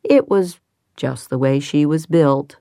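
A woman narrating a novel in an even, measured way, with quiet dialogue parts. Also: low voice, creaky, no echo, studio quality. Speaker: audiobook narrator. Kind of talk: scripted narration.

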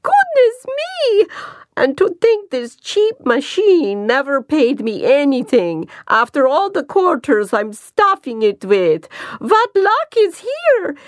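An excitable Swedish woman coworker gushes in a voice pitched far above the reader's own, as the narrator puts her on. The words come slowly and loudly, with a clean, dry close-mic sound. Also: dry recording, exaggerated voice. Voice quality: high, exclaiming.

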